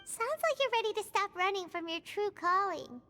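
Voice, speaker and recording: An actress voicing a small, bubbly companion character in a game with a squeaky voice. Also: lively intonation, normal speed, bright, forceful tone, a little hiss or hum, heavily acted. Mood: chirpy. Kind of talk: game voice acting.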